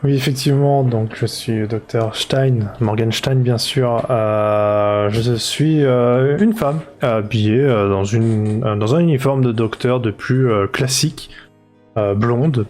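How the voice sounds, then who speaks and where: A man in a tabletop game session describes his character, his voice mid-pitched and full of fillers. Faint music plays under him. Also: slow, darkish tone. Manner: hesitant, frequent fillers. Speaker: player.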